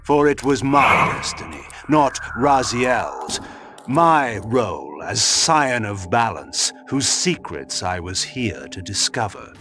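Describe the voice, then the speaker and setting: A man voicing a proud, brooding vampire lord in a video game, narrating theatrically and deliberately. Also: mid-range voice, very animated, over music. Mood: proud, musing. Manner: grandiloquent, deliberate, portentous.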